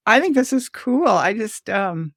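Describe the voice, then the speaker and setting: A woman in casual tech chat, informal and a little halting as she thinks aloud. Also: medium pitch, sing-song, a bit bright, dry recording, studio quality. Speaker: educator on a developer livestream.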